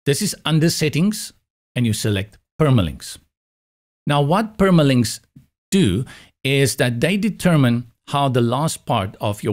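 A man's voice: mid-range voice, darkish tone. A South African man whose first language is Afrikaans, explaining a website setup step by step in a patient screen-recorded tutorial. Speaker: web design instructor.

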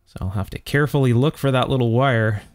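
A man's voice: mid-range voice, fast. A man hosting a hands-on electronics repair demonstration, explaining methodically step by step as he works. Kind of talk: hands-on repair demonstration, talking while working.

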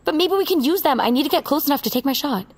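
A woman's voice: high-pitched, expressive, rapid-fire. An actress playing a determined, vengeful young woman, blunt and resolute, in a naturalistic audio drama. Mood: grim determination.

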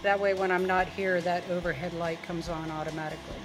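A woman's voice: on the low side, steady tone, fairly quick, over music. A middle-aged woman giving a casual, plainspoken, step-by-step tutorial as a DIY video host. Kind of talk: casual hands-on tutorial narration.

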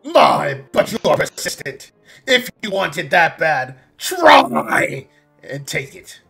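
A man's voice: a little high, big pitch swings, slow, creaky, bright, forceful tone, soft background music, exaggerated voice. A man voicing a blustering, vengeful old robot pirate captain with a theatrical drawl in an amateur game fandub. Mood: taunting.

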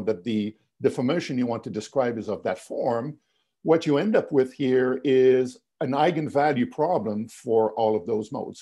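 Older guy teaching physics over a video call, mellow husky voice, lots of "you know"s, going a bit hoarse. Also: mid-range voice. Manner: explanatory, many "you know" fillers and restarts. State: losing his voice, coughing. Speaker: physics lecturer. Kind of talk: webinar lecture over slides. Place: home office, indoors.

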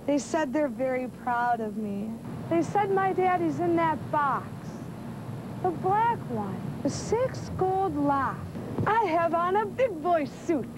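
A sixteen-year-old young woman playing a brave, grieving little boy in a plaintive dramatic verse monologue. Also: heavily acted. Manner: slow, plaintive recitation. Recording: noisy outdoor camera recording with rumble.

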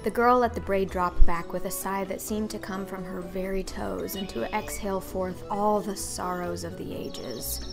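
Young woman narrating, soft music behind. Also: medium pitch, steady tone, normal speed, few pauses. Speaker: audiobook narrator. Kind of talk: scripted story narration.

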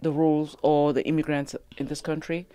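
Lower-voiced Cameroonian woman hosting. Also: level tone, a little fast, mellow, clear, resonant tone. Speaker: interview host. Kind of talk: conversational interview. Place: small radio studio, indoors. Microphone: studio mic on boom arm.

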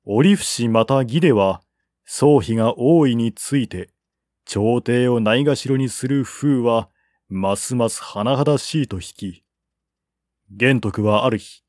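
Male narrator for literary historical fiction: unhurried, formal, mid-pitched, close dry recording. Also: lively intonation, a little mellow, no room sound. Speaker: audiobook narrator.

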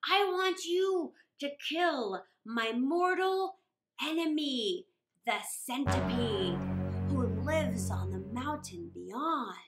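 A man's voice: extremely high pitch, animated, slow, fairly bright tone, soft background music, exaggerated voice. A woman voicing an anxious, pleading old dragon king in a storybook reading, earnest and formal. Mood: grave urgency.

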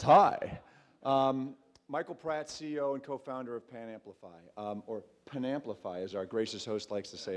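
A man in his thirties pitches his company from a stage in a large, darkened auditorium. He keeps it conversational, with plenty of ums and restarts. He speaks into a stand mic at a medium, ordinary pitch. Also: darkish tone. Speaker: startup CEO pitching. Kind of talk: conference pitch talk.

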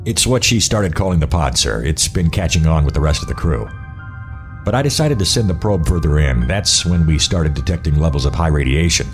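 A sober male officer, played by an actor, gives matter-of-fact reports in a low voice. Soft music runs underneath, as in a science fiction radio play. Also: expressive, brisk. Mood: grave reporting. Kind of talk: sci-fi audio drama acting.

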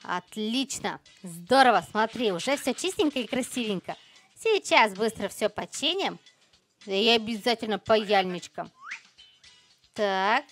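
A woman's voice: medium pitch, animated, measured pace, fairly bright tone, soft background music. A woman hosting a children's gaming channel, giving casual, chirpy commentary with playful exclamations and cute diminutives as she talks to young viewers.

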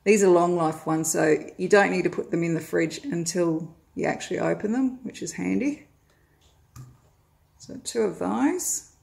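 Australian woman, middle-aged, low gravelly voice, casual haul vlogger at home on a phone. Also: unhurried, a little mellow. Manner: friendly, chatty, with frequent "uh" fillers. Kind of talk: casual grocery haul vlog. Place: home, indoors, at a table of groceries.

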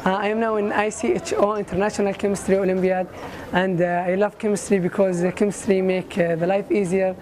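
A man's voice: high voice, little pitch movement, a little fast. A young man, speaking hesitant, broken English with many fillers. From Saudi Arabia. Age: late teens. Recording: crowd chatter behind.

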